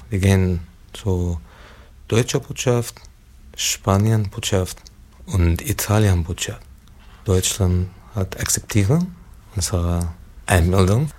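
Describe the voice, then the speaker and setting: A man in his thirties from Syria, answering interview questions in hesitant, halting German. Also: on the low side, a little mellow. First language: Arabic. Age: 30s. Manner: slow, hesitant, searching for words. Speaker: interviewee, musician.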